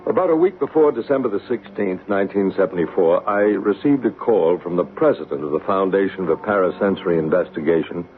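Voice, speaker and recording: An actor playing a calm male psychic investigator in a 1970s radio drama, asking questions in a reassuring way. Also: low-pitched, a little fast, hardly any pauses, faint music behind, natural voice. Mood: narrating. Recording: tinny hissy 1970s radio broadcast.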